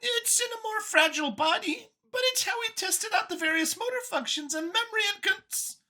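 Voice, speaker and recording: A woman's voice: very high voice, expressive, moderate pace, sharp tone, voice pushed up, heavily acted. A woman voicing a nervous, earnest female alien mouse scientist in an audiobook, with a flustered, eager way of talking. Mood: flustered, defensive. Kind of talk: acted audiobook character.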